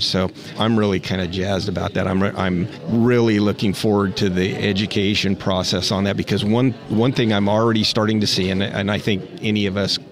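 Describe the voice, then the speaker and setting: A man being interviewed on a podcast, talking in a rambling, thoughtful way with frequent restarts. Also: fairly low pitch. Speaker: interview guest, rancher. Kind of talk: casual interview.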